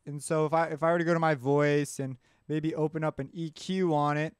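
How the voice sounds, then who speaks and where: Clear, higher-voiced man teaching casually. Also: steady tone, fairly quick, no room sound, clean studio sound. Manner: informal, thinking aloud. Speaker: music production tutorial instructor. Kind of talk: casual explanatory screencast narration.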